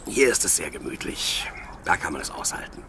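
A man playing a sleepy, cheerful young shepherd boy in an audio play, with a playful and expressive manner. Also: deep voice, fairly quick, whispered, full character voice. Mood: contented. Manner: playful, expressive, talks to himself and his sheep.

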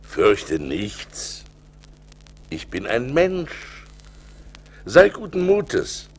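An animated, suspenseful seafaring adventurer. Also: male voice, mid-range voice, very slow, full character voice. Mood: reassuring. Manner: theatrical, suspenseful, expressive delivery. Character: Sindbad, male seafaring adventurer recounting perils, fearful yet resourceful. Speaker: narrator and lead actor. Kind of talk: dramatic storytelling in a German audio play. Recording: vinyl record transfer.

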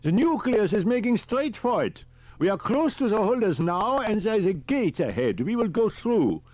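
A man voicing an excitable, precise male professor in an audiobook, speaking formally and deliberately. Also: high-pitched, no echo, voice pushed up. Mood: intent.

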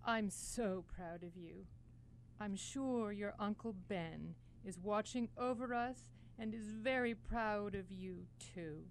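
A woman's voice: mid-range voice, takes it slowly, slight background noise. A woman acting a kindly, proud, elderly widowed aunt in a student radio play. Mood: tender, proud.

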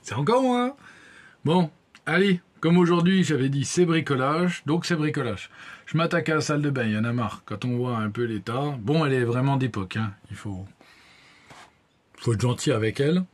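A man's voice: medium pitch, lively intonation, normal speed, no echo. A young man chatting casually and wryly as a vlogger, in an informal, colloquial way, recorded on a handheld phone. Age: young adult. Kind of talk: casual vlog chat. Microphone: phone at arm's length.

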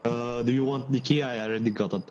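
Hesitant player, voice chat, distant, faint noise, lots of fillers. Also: male voice, medium pitch, fairly even intonation, fast, darkish tone, muffled recording. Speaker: stranger met in game. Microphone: in-game voice chat.

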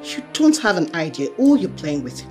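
A woman's voice: fairly low pitch, very animated, normal speed, darkish tone, soft background music. A woman acting as a mocking, threatening character who taunts someone with scornful laughs. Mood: taunting. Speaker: actor.

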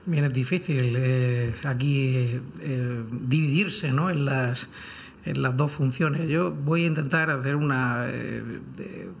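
Measured, hesitant man giving careful commentary. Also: mid-range voice, gravelly. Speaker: panelist, lawyer. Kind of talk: unscripted legal commentary in a round-table debate.

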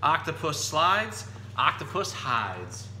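A man's voice: on the high side, takes it slowly. A man in his thirties presenting to children as an enthusiastic, explanatory storytime host. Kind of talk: casual educational talk for children, picture-book reading. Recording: roomy echo, steady background hum.

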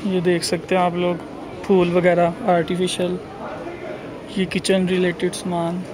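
A man's voice: high voice, monotone, unhurried. A man giving casual, chatty commentary while walking through an outdoor market, musing aloud as he goes. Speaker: travel vlogger. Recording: busy crowd noise behind.

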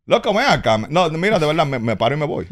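A middle-aged Dominican man talking bluntly and crudely as a guest on a studio talk show, recorded on a desk boom mic. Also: big pitch swings, fast. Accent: Dominican Spanish. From Dominican Republic, raised in the United States. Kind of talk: casual talk-show banter. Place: TV-style podcast studio with neon set.

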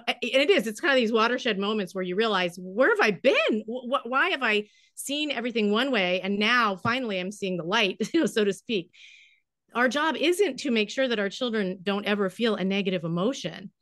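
A woman's voice: mid-range voice, animated, brisk, a bit bright, dry recording, studio quality. A middle-aged woman, an author guest on a video call from her home library, speaking emphatically with folksy words and restarts. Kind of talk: animated interview answers.

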